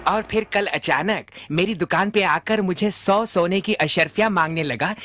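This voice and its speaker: A man's voice: high-pitched, fairly bright tone, dry recording. A man playing a deferential, ingratiating trader in a Hindi cartoon. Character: smooth-talking, deferential male trader protesting his innocence.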